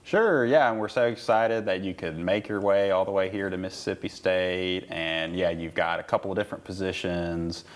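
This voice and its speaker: A man's voice: deep voice, fairly even intonation, moderate pace, hardly any pauses. A man hosting a university interview show, asking upbeat, enthusiastic questions. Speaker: host.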